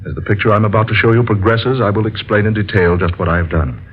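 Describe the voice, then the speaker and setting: An actor playing a solemn male inventor who explains his discovery in a slow, lecturing way, in a 1940s radio drama. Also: lowish voice, close to an everyday voice. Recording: lo-fi old radio recording with faint hiss.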